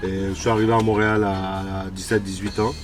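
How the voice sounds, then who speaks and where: A man's voice: deep voice, little pitch movement, brisk, far from the mic, music in the background. A man from Côte d'Ivoire, speaking casually in an interview and often repeating words as he searches for them. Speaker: restaurant owner being interviewed.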